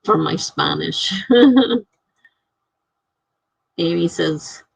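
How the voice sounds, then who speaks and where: A gravelly, slightly husky woman chats slowly through a live stream and reads out her viewers' comments. She laughs often between comments. Her voice sits dry and close to the mic. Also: on the low side, lively intonation, no echo.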